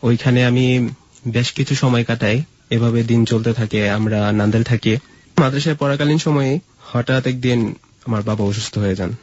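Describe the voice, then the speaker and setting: A man's voice: medium pitch, fairly even intonation, moderate pace, a little mellow, dull audio. A man from Mymensingh in Bangladesh, an interview guest telling personal stories in a conversational way. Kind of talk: personal storytelling in casual conversation.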